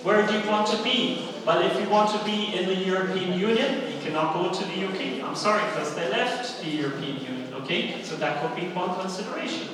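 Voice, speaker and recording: A man's voice: sits high, fairly quick, hardly any pauses, crowd noise. Echoey, distant German-born man giving a seminar. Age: middle-aged. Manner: rhetorical questions with frequent check-in tags like okay and right. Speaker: university lecturer and seminar speaker. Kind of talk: live seminar presentation to students. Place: large indoor hall, speaking to an audience. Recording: very echoey hall, distant microphone.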